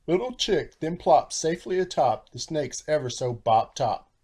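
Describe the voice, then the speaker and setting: Male storybook narrator, fairly high voice, theatrical, animated, rhyming read-aloud delivery. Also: moderate pace, very noisy.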